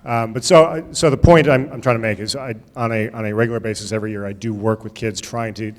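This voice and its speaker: A man's voice: fairly low pitch, fairly even intonation, quick pace. A middle-aged man hosting a school career panel, loose and emphatic, often checking in with "all right?". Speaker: host, moderator. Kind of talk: event moderation. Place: high school auditorium. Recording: reverberant hall.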